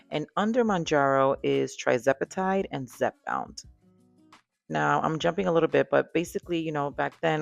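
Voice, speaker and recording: Gravelly-voiced woman with a Dominican accent. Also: deep voice. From Dominican background. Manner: chatty, candid, lots of fillers and asides. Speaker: podcast host. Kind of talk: casual personal talk to camera.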